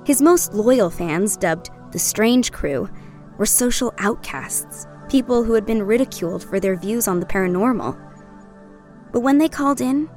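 An actress playing a reflective young woman, narrating a scripted documentary in a measured way. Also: mid-range voice, lightly acted. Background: music.

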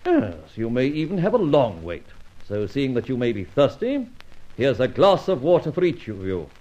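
An actor playing a debonair, flippant gentleman adventurer in an old radio drama, self-assured, with urbane, wordy phrasing. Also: mid-range voice, big pitch swings, normal speed. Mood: smug, playful. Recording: muffled old radio transcription recording.

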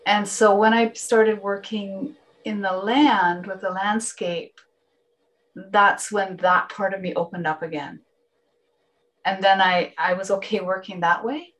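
A Canadian woman whose first language is Finnish, speaking as an interview guest in a reflective, personal way, often pausing mid-phrase and rephrasing. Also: mid-range voice, a bit bright, poor audio. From Northern Ontario, Canada.

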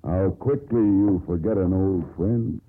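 An actor playing a cocky, flirtatious sea captain in a 1950s radio drama, with teasing politeness. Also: male voice, deep voice, unhurried. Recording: tinny 1950s radio broadcast.

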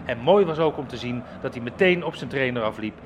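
A man's voice: mid-range voice, animated, brisk, background noise. A man working as a football reporter, giving live match commentary for radio.

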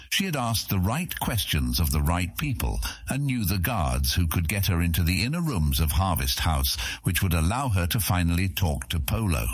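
A man's voice: low voice, breathy edge, no room sound. A man narrating a scripted fantasy audiobook as a storyteller.

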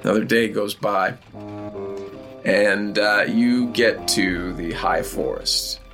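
A man narrating an improvised tabletop game as game master, pausing often mid-sentence. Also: fairly low pitch, music in the background.

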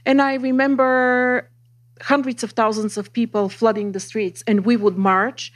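A woman's voice: fairly high pitch, fairly even intonation, unhurried. A woman in her fifties from Bulgaria, recalling memories earnestly as a panelist. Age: 50s. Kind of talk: personal recollection on a panel.

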